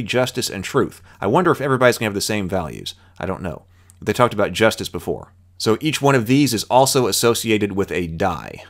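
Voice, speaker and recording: Low-voiced man reading game rules. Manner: reads rules aloud, then thinks out loud with asides. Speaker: channel host.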